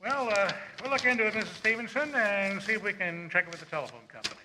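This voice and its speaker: An actor playing a stolid police desk sergeant in a radio suspense drama, unhurried, placating and patronizing. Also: male voice, high-pitched, noisy background, natural voice. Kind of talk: stage performance of classic radio suspense drama.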